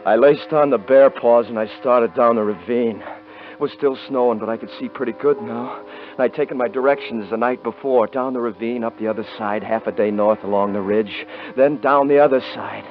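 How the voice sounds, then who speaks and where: An actor playing a frightened young hunter who also narrates, in a 1950s radio drama, stammering and panicky. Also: male voice, mid-range voice, steady tone, normal speed, soft background music, natural voice. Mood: tense narration. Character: lone young male hunter, frightened, haunted. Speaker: narrator and lead character. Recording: dull old radio broadcast.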